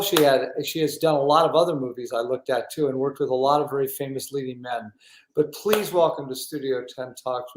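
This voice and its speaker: Effusive man hosting, in a roomy echo. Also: mid-range voice. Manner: effusive, emphatic. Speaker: talk show host. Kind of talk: enthusiastic interview chat. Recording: roomy, echoey sound.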